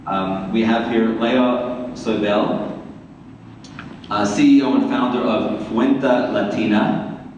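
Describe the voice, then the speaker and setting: A man with a Queens, New York accent introducing speakers at a community event as a rabbi, in somewhat halting, repetitive phrasing. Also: on the low side, fairly even intonation, darkish tone, muffled recording. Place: large reverberant room, community event. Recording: very echoey hall, distant mic, faint noise.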